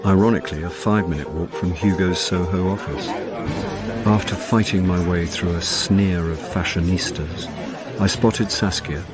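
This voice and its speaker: A man's voice: deep voice, normal speed, over loud music, close to an everyday voice. A man with a British accent acting in a radio drama as a dry, sardonic middle-aged amateur detective, partly narrating. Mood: wry narration. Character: wry middle-aged amateur sleuth, mildly prudish.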